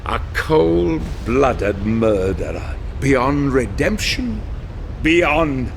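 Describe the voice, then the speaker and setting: Game cutscene villain: older treacherous sorcerer, theatrical and gloating, creaky voice, very measured pace. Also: male voice, medium pitch, lively intonation, slight background noise, exaggerated voice. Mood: cruel, triumphant. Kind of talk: dramatic video game cutscene acting.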